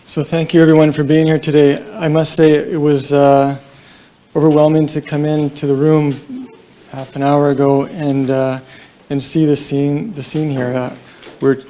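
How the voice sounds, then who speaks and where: Quiet, somber man with a gravelly voice. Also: mid-range voice. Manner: halting, somber, many fillers. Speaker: police deputy chief. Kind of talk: press conference statement. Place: large room at a press conference.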